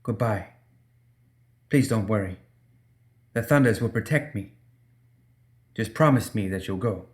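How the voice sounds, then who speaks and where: Guy reading as young rebel woman, tender n proud, bit bitter, kinda low voice, audiobook style. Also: a little slow, long pauses, natural voice. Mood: pleading, tender.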